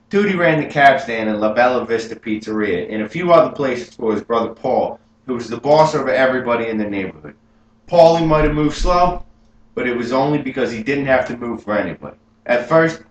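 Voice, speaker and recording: A young man playing an adult gangster who proudly recalls his youth, telling it earnestly and rising with excitement, as a memorized film monologue. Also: mid-range voice, voice pushed up, lightly acted. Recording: roomy room with faint hum.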